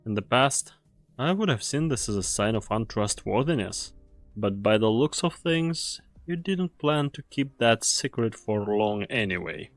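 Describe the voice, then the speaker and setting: A man acting as a world-weary mercenary comrade with a fairly low voice. He speaks gravely and deliberately, like a fatalist who still does his duty. It is anime game dub acting, recorded dry with faint music underneath. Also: animated, dry recording. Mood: probing, suspicious.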